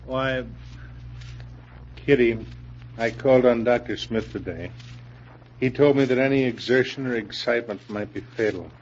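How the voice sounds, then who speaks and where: A timid, ailing husband played by a male actor speaks at a middling pitch, apologetic and eager to please. It comes from old radio drama, with muffled sound and faint hiss. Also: normal speed, pauses often, a little mellow, lightly acted. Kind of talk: old-time radio crime drama acting.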